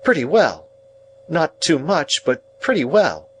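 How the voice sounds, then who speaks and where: Man voicing a sharp, snappish, haughty woman, pitched up. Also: sing-song, moderate pace, a little hiss or hum, lightly acted, low-quality recording. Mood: gratified. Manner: curt, snappish, exclamatory. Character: sharp, scolding, haughty older sister, adult woman. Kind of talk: audiobook character voice.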